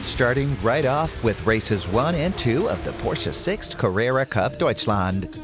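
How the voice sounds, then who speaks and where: Brief: male motorsport narrator, steady scripted read, music bed underneath. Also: mid-range voice, a little slow. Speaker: highlights narrator.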